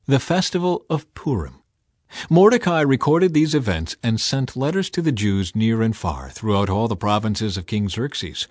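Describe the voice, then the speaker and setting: A man's voice: mid-range voice, dry recording. A man reading scripture as a scripted, dramatized audiobook narrator. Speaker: Bible narrator. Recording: music behind a dry studio voice.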